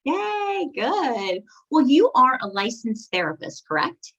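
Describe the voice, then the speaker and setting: A woman with a fairly high, lively voice hosts an interview, eager and quick with upbeat affirmations. The audio is muffled and lo-fi. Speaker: podcast host. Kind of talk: casual interview.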